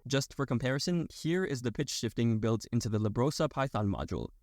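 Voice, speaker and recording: A man with a medium-pitched voice narrates a scripted tutorial. He explains things clearly, one step at a time. Music plays behind his voice. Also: expressive, quick pace, darkish tone, no echo.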